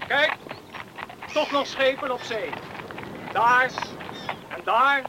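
A man's voice: very high-pitched, slow. An actor playing a young male islander, a curious, practical and amused friend, in a Dutch radio play. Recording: noisy old radio play recording.

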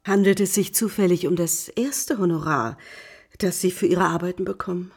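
A woman's voice: on the low side, moderate pace, darkish tone, breathy edge. An actress playing a gracious, formal middle-aged baroness and mother in a German radio drama.

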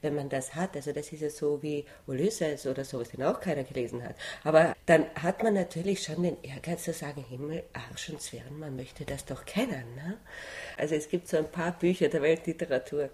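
Low-voiced woman telling anecdotes. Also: darkish tone. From Vienna, Austria. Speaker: actress interviewed. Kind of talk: casual anecdotal storytelling.

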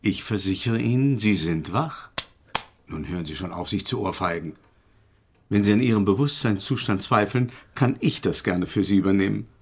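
An actor playing a self-important invisible gentleman scientist in a radio play, polite and urbane in manner. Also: fairly low pitch, moderate pace, slight background noise, natural voice. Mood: insistent. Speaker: physics professor. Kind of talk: German radio play acting.

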